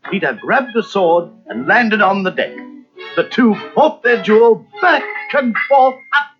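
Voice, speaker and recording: A male narrator reads a children's storybook expressively, with lively, swooping intonation. His fairly high voice sits over music on a slightly dull recording. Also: a little slow.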